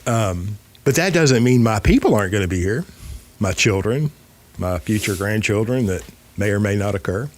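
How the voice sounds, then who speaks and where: A man's voice: on the low side, animated, moderate pace. A man in his fifties hosting a podcast, talking casually and emphatically, repeating phrases to drive a point home. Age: 50s. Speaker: podcast host.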